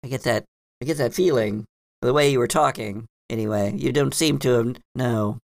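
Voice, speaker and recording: A man voicing a knowing, cautious female librarian in a tabletop game, halting and repeating herself as she searches for words. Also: medium pitch, vocal fry, no room sound, studio quality, voice pushed up, lightly acted. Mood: suspicious. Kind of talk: tabletop game character dialogue.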